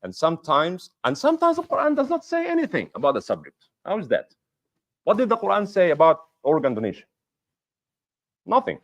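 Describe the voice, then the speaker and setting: Man, imam, mosque lecture, rhetorical questions, lively intonation, emphatic repetition. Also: sits high, brisk. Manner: explains patiently with rhetorical questions; emphatic, repeats key points.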